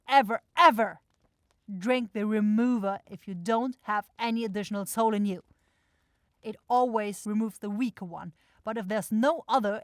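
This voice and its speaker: A woman's voice: medium pitch, dry recording, clean studio sound, lightly acted. A woman playing a bossy, grumbling demon shopkeeper with a brusque, sarcastic manner in a fantasy audio roleplay.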